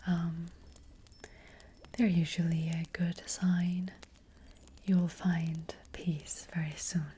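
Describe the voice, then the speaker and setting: A woman playing a gentle fortune-telling witch in a whispered, breathy ASMR roleplay, speaking hesitantly and reassuringly close to the microphone. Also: lowish voice, full character voice. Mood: calm, reassuring. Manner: hesitant, unhurried, softly reassuring. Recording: faint noise, soft clicks.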